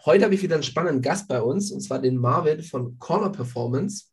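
A man's voice: on the high side, lively intonation, brisk. A man hosting a sports podcast who has a cold, asking questions with some hesitant fillers. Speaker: podcast host. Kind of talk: podcast interview.

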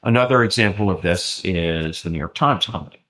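Deep-voiced man explaining at length. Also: brisk, fairly bright tone, rough recording. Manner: lecturing, with frequent ums and self-restarts. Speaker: interview guest. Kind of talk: explanatory conversational talk.

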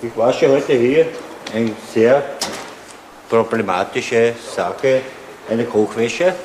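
A man, answering an interviewer in a laundromat in slow, halting phrases. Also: medium pitch, darkish tone. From Vienna, Austria. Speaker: laundromat customer. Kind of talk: street interview. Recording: roomy 1990s TV archive with hiss.

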